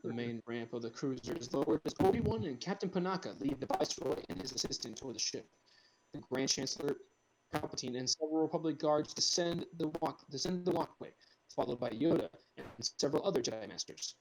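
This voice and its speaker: A man's voice: mid-range voice, quick pace, slight background noise, rough recording. A man reading screenplay directions aloud as the narrator of a group script reading.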